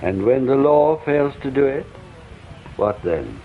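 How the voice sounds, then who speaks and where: An actor playing an educated, self-mocking, resigned gentleman culprit in a radio drama, polite and reflective, heard through a telephone. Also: mid-range voice, big pitch swings, slow pace. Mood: resigned, wistful. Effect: telephone filter. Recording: thin phone-line sound with faint noise.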